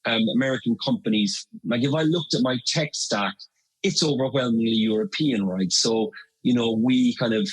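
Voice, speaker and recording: A man's voice: medium pitch, moderate pace, slightly rough, poor audio. A middle-aged Irish man talking as a guest in an interview, chatty and full of fillers and restarts. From Ireland. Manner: conversational, many fillers and restarts. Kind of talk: interview conversation.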